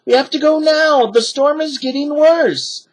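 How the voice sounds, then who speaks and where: A man's voice: extremely high pitch, a little slow, voice pushed up, low-quality recording. A man voicing a bossy, urgent young girl in a storybook, speaking insistently.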